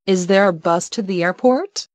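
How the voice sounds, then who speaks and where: A woman reading English travel phrases one by one, slowly and carefully, for learners to repeat. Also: medium pitch, dry recording. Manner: careful, evenly paced, each phrase read alone. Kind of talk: slow clear phrase repetition for learners.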